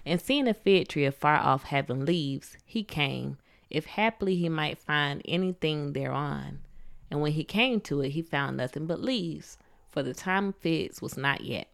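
Low-voiced woman co-hosting a faith podcast. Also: a little slow, vocal fry. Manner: playful, laughs often, reads scripture aloud. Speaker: podcast co-host. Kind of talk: casual faith podcast chat and scripture reading.

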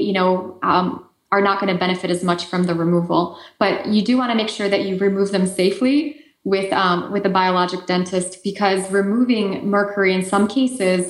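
A woman's voice: medium pitch, fairly even intonation, moderate pace, echoey room. A woman with an Eastern European accent, a native Polish speaker, answering interview questions in an explanatory way with frequent fillers and restarts. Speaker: guest expert.

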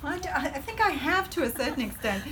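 A woman's voice: high-pitched, sing-song, quick pace, fairly bright tone, a little hiss or hum. A middle-aged woman surgeon with a Hong Kong colonial English accent, talking as a podcast guest with many ums and frequent laughter. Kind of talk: podcast interview.

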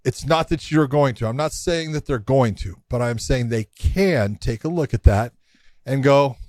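A man's voice: mid-range voice, normal speed, a little mellow, no room sound. A man co-hosting a casual sports chat, blunt and stammering at the start of his points. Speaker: podcast co-host, fighter.

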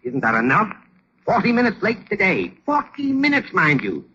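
An actor playing an irate, blustering boss with a husky voice in a 1940s radio sitcom. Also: male voice, high-pitched, wildly swooping pitch, moderate pace, bright, forceful tone, heavily acted. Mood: angry, blustering. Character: irate, blustering male bus company boss. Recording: dull old radio recording.

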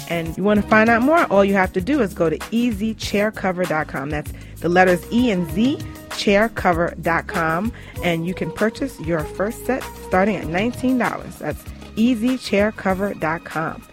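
A woman delivering a scripted radio advert as a conversational sales pitch.

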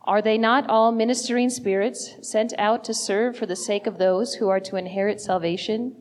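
Woman reading scripture aloud, measured. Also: medium pitch, steady tone, a little mellow. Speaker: scripture reader. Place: church sanctuary.

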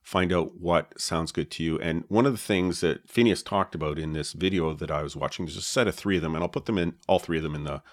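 A man with a low voice, co-hosting a podcast and explaining his gear in a relaxed way, with many fillers, on a dynamic mic with a pop filter. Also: quick pace, hardly any pauses, no room sound. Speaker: podcast co-host. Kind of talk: casual chat about podcast gear. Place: home podcast setup. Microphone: dynamic podcast mic with pop filter and foam cover.

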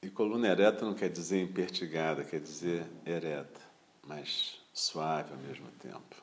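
A man with a Brazilian Portuguese accent leading a guided meditation as a teacher, calm and unhurried, speaking in short phrases with pauses. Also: very deep voice.

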